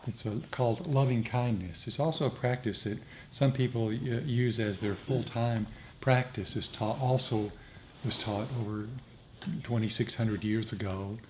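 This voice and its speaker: A man gently instructing a meditation group in an informal, conversational way. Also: fairly low pitch. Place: indoor meditation group meeting. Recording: clearly noisy room recording.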